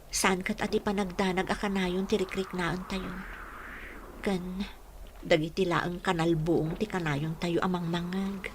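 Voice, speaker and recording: A woman's voice: lowish voice, flat delivery, unhurried, a little hiss or hum, natural voice. A woman with an Ilocano accent playing an earnest, troubled young village woman in a radio drama. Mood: wistful, troubled. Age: young adult. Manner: earnest, plaintive.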